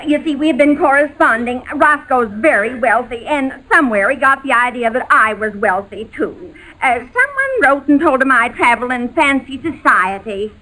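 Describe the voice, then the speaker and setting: An actress playing a naive, fretful, lovelorn maid in a 1940s radio comedy, folksy and earnest. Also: female voice, high voice, expressive, moderate pace, hardly any pauses, bright, forceful tone. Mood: confiding. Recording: faintly noisy old 1940s radio recording.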